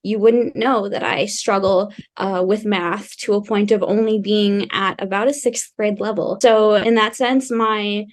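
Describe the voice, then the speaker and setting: A woman's voice: medium pitch, steady tone, measured pace, few pauses. A young woman speaking as a podcast guest, fluent, articulate and reflective as she explains her experiences. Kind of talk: casual podcast interview.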